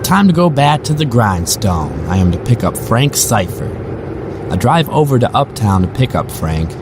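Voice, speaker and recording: A man's voice: mid-range voice, sing-song, normal speed, natural voice. A man playing a weary, musing rideshare driver who narrates eerie stories in a conversational, first-person audio drama style. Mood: wry, uneasy. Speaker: narrator and lead character. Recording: clearly noisy, car and street ambience.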